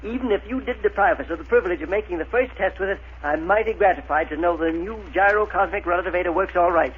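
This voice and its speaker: An actor playing an earnest, dignified scientist-inventor with brisk, precise, formal diction, in the style of a 1930s radio adventure serial. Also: male voice, high voice, close to an everyday voice. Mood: pleased, excited. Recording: noisy, narrow-band 1930s radio recording.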